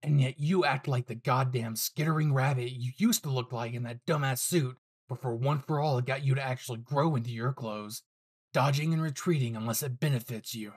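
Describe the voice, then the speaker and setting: A man's voice: medium pitch, brisk, breathy edge, lightly acted. A man voicing a furious, foul-mouthed young male hero in an anime fan audio drama, aggressive and ruthlessly protective. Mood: angry, bitter. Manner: aggressive, profane, defiant.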